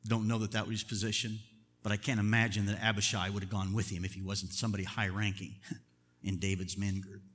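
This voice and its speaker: A middle-aged man, a pastor, preaching a sermon in an earnest, measured way, slowing down to stress key words. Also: lowish voice, level tone, a bit bright, husky, faint music behind. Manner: earnest, measured preaching with deliberate slow emphasis. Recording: long reverb of a large hall.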